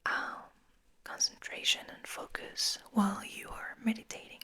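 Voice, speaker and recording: A woman whispering breathily in a slow, soothing guided ASMR style, lingering on her words. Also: sharp tone, dry recording. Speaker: ASMR host.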